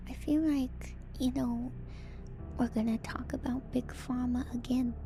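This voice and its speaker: A woman streamer whispering softly and breathily in casual ASMR commentary, musing and trailing off between phrases. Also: a little high. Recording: music playing behind the voice. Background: music.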